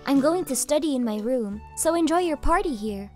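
High-pitched nonchalant young student played by a woman. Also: normal speed. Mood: polite, reserved. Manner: flat, offhand. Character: nonchalant young female university student, guarded niece. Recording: music behind the voice.

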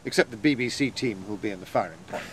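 An actor with an upper-class English accent playing a jovial, domineering rocket tycoon with a hearty, commanding manner in a radio drama. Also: male voice, lowish voice, fast talker, a little hiss or hum, close to an everyday voice. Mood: proud, brisk.

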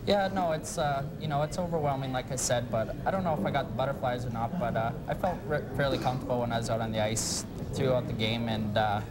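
Young guy athlete in an interview, lots of uhs, kinda understated, mid pitch, loud noise behind. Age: young adult. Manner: halting, many uhs, understated. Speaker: hockey player being interviewed. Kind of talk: casual sports interview answers. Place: sports grill, indoors, at a table. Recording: loud background noise, dated TV sound. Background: loud ambient noise.

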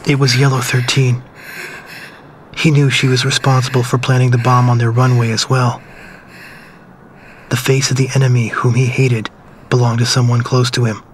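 An actor playing a man who somberly recalls his wartime childhood in measured, reflective story narration. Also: medium pitch, little pitch movement, a little hiss or hum, natural voice. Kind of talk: scripted first-person story narration.

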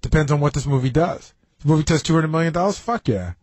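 Male radio staffer, quick, slightly high voice, casual anecdotes with quoted dialogue, dry studio. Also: breathy edge, no room sound. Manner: animated storyteller who acts out dialogue. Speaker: radio show producer.

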